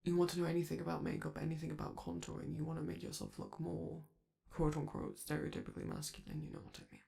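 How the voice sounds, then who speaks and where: A man voicing a flamboyant, affectionate male robot star who speaks softly and teasingly to his partner in a romantic ASMR roleplay. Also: fairly high pitch, sing-song, fast, vocal fry, heavily acted. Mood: reassuring. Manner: soft, doting, teasing, reassuring.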